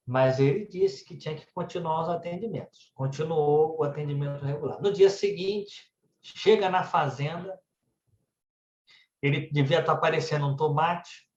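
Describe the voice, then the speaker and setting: A man's voice: on the high side, a little mellow. A man with a Brazilian Portuguese accent giving a religious talk as conversational anecdotes, often tagging his phrases with little check-in words. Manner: conversational storytelling, frequently adding "né" tags. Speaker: speaker at a spiritist centre. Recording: echoey room, dull muffled sound.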